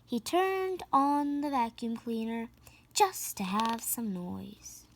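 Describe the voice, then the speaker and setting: A woman reading a children's picture book aloud in an expressive, emphatic way. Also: fairly high pitch, slow pace, slight background noise. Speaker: storybook reader.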